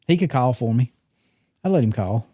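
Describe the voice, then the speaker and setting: A man's voice: mid-range voice, lively intonation, normal speed, mellow, husky, dry recording, studio quality. A man around sixty, co-hosting a hunting podcast in casual, rambling, teasing chat. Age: 60s. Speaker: podcast co-host.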